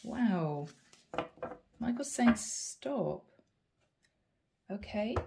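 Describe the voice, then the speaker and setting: A woman's voice: mid-range voice, lively intonation, extremely slow, vocal fry, background noise. Stuffy-voiced woman giving a tarot reading. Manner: musing, soft, thinks aloud with hmms. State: head cold, stuffy voice. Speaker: tarot reader. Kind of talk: tarot card reading to camera.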